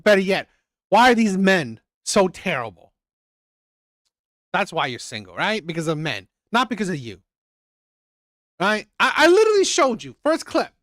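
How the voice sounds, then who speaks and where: A man's voice: high voice, fairly bright tone, no room sound, studio quality. A man commenting on a video clip in a mocking tone, repeating his points and mimicking voices. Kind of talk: reaction commentary.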